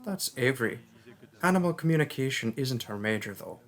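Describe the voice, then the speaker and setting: A man's voice: mid-range voice, lively intonation, brisk, a bit bright. A man voicing a friendly, chatty young mage student in a roleplay audio drama, speaking casually and a little hesitantly, straight to the listener. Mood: chatty, jokey. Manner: casual, rambling, hesitant, jokey.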